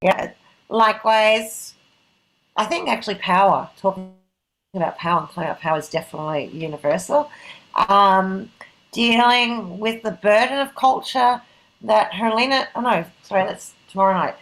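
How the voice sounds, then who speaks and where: A woman's voice: mid-range voice, moderate pace, fairly bright tone, low-quality recording. A middle-aged woman moderating an event on a video call, talking casually and self-deprecatingly in an informal, rambling way.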